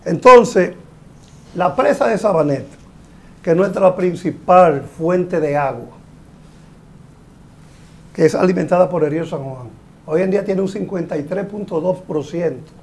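A man's voice: fairly high pitch, slight background noise. A middle-aged man, a hydraulic engineer explaining a mining project as a guest in a TV studio interview, speaking emphatically with a lapel mic. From Dominican Republic. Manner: explanatory, emphatic, gestures with hands.

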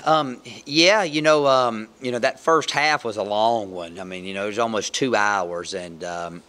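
A man's voice: lowish voice, normal speed, sharp tone. A middle-aged man speaking as head football coach at a post-game press conference, matter-of-fact with frequent ums, on a table mic. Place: press room, seated at table.

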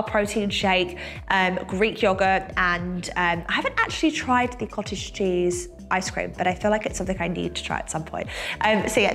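A young woman with a slight cold and a nasal edge, chatting casually to camera as a fitness coach, with lots of fillers. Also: mid-range voice. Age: young adult. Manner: chatty, many fillers, lively gestures. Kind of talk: casual sit-down chat to camera. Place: bright room indoors, seated.

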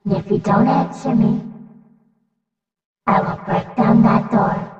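A woman voicing an energetic little animatronic bunny girl, a cutesy, singsong cartoon daycare host with a menacing side. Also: fairly high pitch, slow pace, lo-fi sound. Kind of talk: character voice acting demo lines. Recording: echoey hall, distant from the mic.

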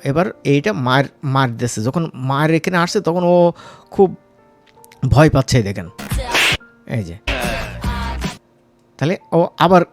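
Higher-pitched Bangladeshi man teaching casually. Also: expressive, slow, long pauses, faint music behind. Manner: informal, mixes in English words. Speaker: animation tutorial instructor. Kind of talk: casual software tutorial narration.

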